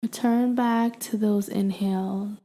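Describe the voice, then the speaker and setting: A woman leading a guided meditation in a soothing, gentle manner, leaving long pauses between invitations. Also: medium pitch, steady tone. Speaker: meditation guide and podcast host. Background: ambient meditation music with humming tones.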